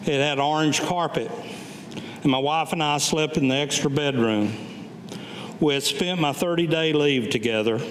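An older man, around seventy, reading his own written memoir aloud at a staged reading in a measured, deliberate way. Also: on the high side. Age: older, about 70. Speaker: Vietnam veteran reading his own writing. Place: public meeting room with audience, indoors. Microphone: gooseneck lectern microphone. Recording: echoey hall, background room noise.

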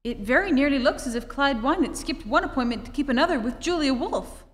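A woman's voice: high voice, animated, brisk. A woman playing a curious, teasing wife in an old-time radio drama on stage. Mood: eager, probing. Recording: echoey live stage hall.

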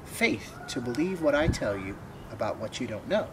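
A calm, wise storybook fish , read aloud by a man, speaking in a measured, plain way. The voice sits at a middle pitch, with clear outdoor noise behind it. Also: natural voice.

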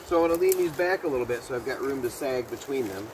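A man's voice: mid-range voice, fast talker. Middle-aged man demonstrating outdoors. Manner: relaxed, explaining step by step while working. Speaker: bushcraft demonstrator. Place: pine forest outdoors. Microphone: camera a few meters away. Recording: outdoor noise behind voice.

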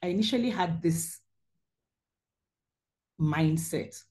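Low-voiced woman telling her story. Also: takes it slowly, darkish tone. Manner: earnest storytelling, frequent ums. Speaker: webinar host and surgeon. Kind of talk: presentation over slides. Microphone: video call.

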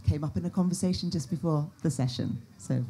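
A woman chairing a panel discussion, briskly thanking speakers and moving things along. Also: low voice, warm tone, a little hiss or hum.

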